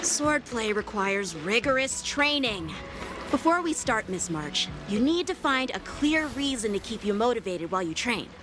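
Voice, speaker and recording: A woman playing a polite, earnest teenage boy swordmaster in video game voice acting, speaking in a formal, measured way. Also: on the high side, big pitch swings. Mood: earnest, instructive. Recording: game music behind the voice. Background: game background music.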